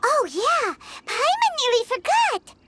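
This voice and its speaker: A woman voicing a small, chirpy and curious fairy companion in an anime game dub, with a squeaky childlike voice. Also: sing-song, slow pace, full character voice. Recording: loud music, recorded off a tablet.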